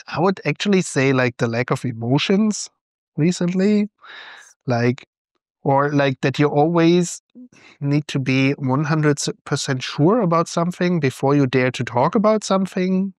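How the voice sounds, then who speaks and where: A young man with a German accent answers podcast questions in a relaxed, hesitant way. His voice sits a little higher than most men's, and his laughter comes easily. The sound is dry and close to the mic. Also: a little slow, no room sound. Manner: thoughtful, hesitant, laughs often. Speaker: podcast co-host. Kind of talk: casual chat.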